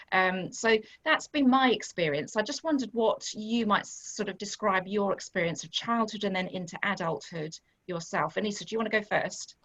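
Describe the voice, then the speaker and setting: A woman hosting a conversation, sharing her own experience reflectively and gently guiding her guests. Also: lowish voice, poor audio. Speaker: host. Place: church recording.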